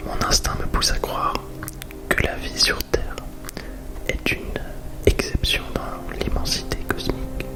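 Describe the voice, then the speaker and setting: Casting: man playing a calm, introspective starship pilot, hushed deliberate whisper, sci-fi ASMR roleplay with clear music bed. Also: natural voice. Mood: pensive. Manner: soft, deliberate, hushed delivery. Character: calm, introspective male spaceship pilot and scientist.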